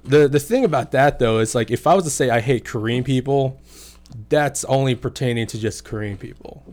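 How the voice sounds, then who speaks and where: A man's voice: medium pitch, a little fast, a little mellow. A young man telling stories in a casual chat, swearing freely and laughing often. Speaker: podcast guest.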